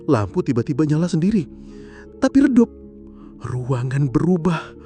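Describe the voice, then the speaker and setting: High, animated male horror storyteller. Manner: suspenseful, theatrical, pausing between short phrases. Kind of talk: dramatic first-person horror narration. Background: background music.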